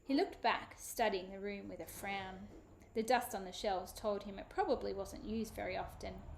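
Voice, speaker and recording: A woman, a children's author, giving an expressive, animated dramatic reading of her book. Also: mid-range voice, normal speed, vocal fry, slight background noise. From Australia.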